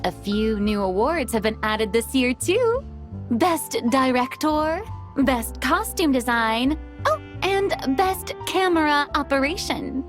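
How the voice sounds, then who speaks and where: A poised, high-minded director from a video game. Her high voice moves with lively, refined flourishes and sounds sure of itself. Music plays faintly behind. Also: slow. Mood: breezy. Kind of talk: video game character dialogue.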